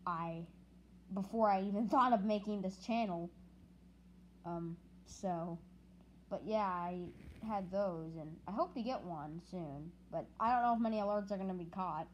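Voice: medium pitch, a little mellow, highs cut off. Hesitant speaker with a woman-range voice, noisy recording. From United States. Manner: hesitant, rambling, often repeating words. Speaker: hobbyist video creator. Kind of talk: casual unscripted video update. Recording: clearly noisy with clicks.

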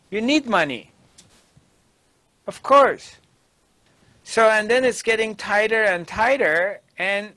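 A middle-aged man giving a spiritual discourse as a teacher, emphatic and deliberate, with pauses. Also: high voice, bright, forceful tone, no echo. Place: small dark indoor studio. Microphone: lapel mic.

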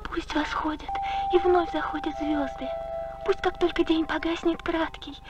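A woman playing a tender young lover, reciting love poetry theatrically in an animated film. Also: extremely high pitch, moderate pace. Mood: loving. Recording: distant dull film sound, loud music.

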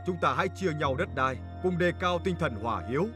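An actor playing a dignified, magnanimous warrior king, speaking formally in an animated historical drama. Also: a little high, music in the background, close to an everyday voice. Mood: dignified, earnest. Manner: formal, measured.

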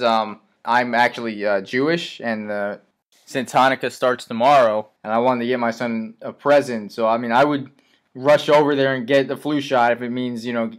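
A man making a deadpan prank phone call, speaking in an earnest, polite and hesitant way. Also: mid-range voice, normal speed.

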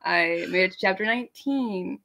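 A young adult woman chatting casually on a group video call, with an animated, teasing, complaining manner. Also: medium pitch, normal speed. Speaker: panelist on a reading livestream. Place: room at home, video call.

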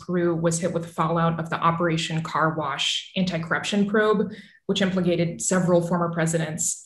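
A woman in her thirties explaining politics as an expert guest on a video call, articulate and measured. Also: lowish voice. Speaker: newsletter author and analyst guest. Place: small home room with bookshelf, indoors. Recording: roomy, echoing video call audio.

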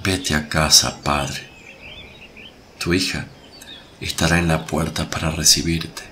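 A solemn male holy teacher played by an actor in a radio play. His low voice moves slowly with compassionate authority, over faint birdsong. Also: level tone, bright, forceful tone, slight background noise, full character voice. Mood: solemn, gentle. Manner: solemn, measured. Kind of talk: Spanish-language radio play acting.

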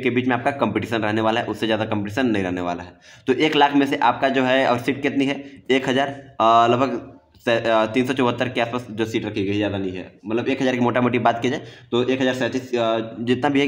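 A man giving an informal explainer, reassuring and repetitive as he addresses viewers directly. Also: medium pitch. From India. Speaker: exam-prep video presenter.

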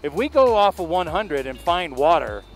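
A middle-aged man talking casually on a helmet headset outdoors, giving calm, reassuring directions. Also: fairly high pitch, moderate pace, faint music behind. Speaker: motorcycle travel vlogger. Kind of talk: casual chat. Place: outdoors, forest meadow. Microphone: helmet headset mic.

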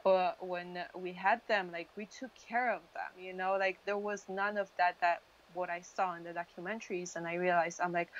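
A woman in her thirties, a native Polish speaker from Poland, telling her story casually and haltingly as an interview guest. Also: lowish voice. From Poland, moved to US at thirteen. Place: dim indoor room, video call. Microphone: wired earphone mic. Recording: dull lo-fi video call audio.

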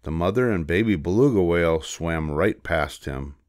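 An older man reading a children's storybook aloud as narrator, in a measured, expressive way. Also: sits low, dry recording, clean studio sound.

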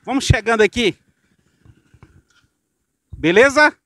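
A man's voice: very high voice, slow, no room sound. A middle-aged Brazilian man reporting and interviewing outdoors in a residential street, holding a handheld mic. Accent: Brazilian Portuguese. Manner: energetic presenter, prompting questions.

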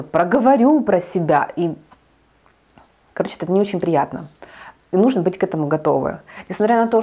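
A woman's voice: fairly low pitch, darkish tone. A woman in her thirties talking casually to camera as a vlogger, hesitant with many fillers and restarts, recorded on a lapel mic. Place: indoor studio with green backdrop.

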